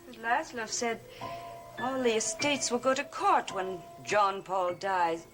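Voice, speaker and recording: An actress playing a calculating, questioning hostess with an occult bent in a gothic television soap, speaking deliberately. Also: female voice, on the high side, fairly bright tone, soft background music. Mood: probing.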